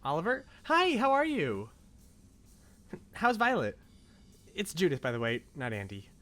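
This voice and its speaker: A man voicing a shy, sweet, apologetic young woman in a visual novel, gentle and hesitant. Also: a little high, huge pitch swings, unhurried, faint music behind, pitched above the performer's own voice, exaggerated voice.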